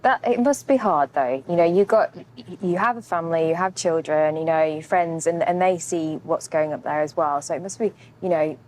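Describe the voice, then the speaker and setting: A woman's voice: sits low, moderate pace, hardly any pauses, darkish tone, a little hiss or hum. A woman interviewer chatting casually, putting her questions in a tentative, sympathetic way.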